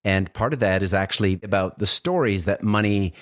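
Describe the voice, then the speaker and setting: A man's voice: on the low side, fairly quick. A middle-aged man hosting an interview show, introducing topics and putting questions to guests. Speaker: podcast host.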